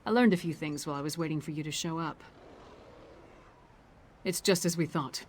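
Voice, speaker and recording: A woman's voice: low-pitched, slight background noise, lightly acted. Woman acting a bold, plain-spoken warrior. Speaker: game character. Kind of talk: scripted video game dialogue.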